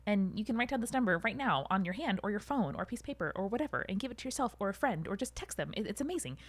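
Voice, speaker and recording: A young woman chats as a podcast co-host. She is emphatic and informal, swearing freely, with a medium-pitched voice. The sound is dry, with no room echo. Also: expressive, rapid-fire. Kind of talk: casual chat.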